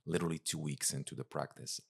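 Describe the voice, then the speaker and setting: Very deep, gravelly-voiced man in his thirties. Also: steady tone, fast talker. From Serbia. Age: 30s. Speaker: interview guest, breathwork and spiritual coach. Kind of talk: casual conversational storytelling.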